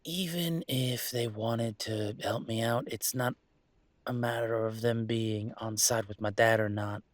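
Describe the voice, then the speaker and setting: A man's voice: mid-range voice, steady tone, a little slow, dry recording, natural voice. Man acting a wry young werewolf heir, dry close recording. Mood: troubled, resigned. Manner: rambling, conversational, wry joking. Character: restless young male werewolf pack heir, wry and wistful. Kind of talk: intimate audio roleplay acting, one side of a conversation.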